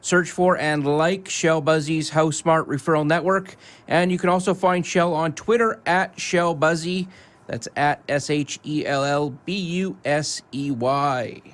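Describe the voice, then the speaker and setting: A man's voice: on the high side, fairly even intonation, a little slow. A man speaking as a radio co-host, giving out information in a plain, orderly way. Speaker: co-host giving out show contact details.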